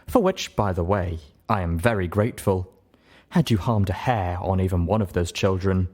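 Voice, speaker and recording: A man voicing a calm, righteous pony leader of a slave empire, solemn, measured and paternal. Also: low-pitched. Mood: grave gratitude. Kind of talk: acted audiobook dialogue.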